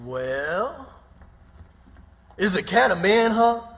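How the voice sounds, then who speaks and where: A warm-hearted, stubborn runaway in a radio play. His voice is strikingly high and bright, swooping in pitch, with a folksy Southern dialect and emphatic delivery. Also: very animated, very slow, vocal fry, exaggerated voice. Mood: stubborn, puzzled. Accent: American Southern. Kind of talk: radio drama acting.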